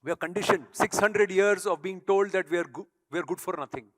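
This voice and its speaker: Emphatic Indian man, high voice in an echoing hall. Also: fairly quick, fairly bright tone. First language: Tamil. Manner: emphatic, rhetorical, challenging the audience. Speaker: speaker. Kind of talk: motivational stage speech. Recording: echoey hall.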